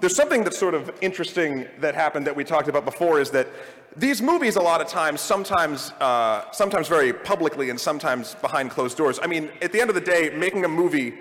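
Male panel host, high and bright, live on stage in a reverberant hall. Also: expressive, quick pace. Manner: theatrical speech-giving opener. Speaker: podcast host.

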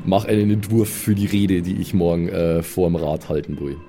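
A man's voice: low-pitched, moderate pace, warm tone, faint music behind. A man chatting casually as a player in an improvised tabletop role-play.

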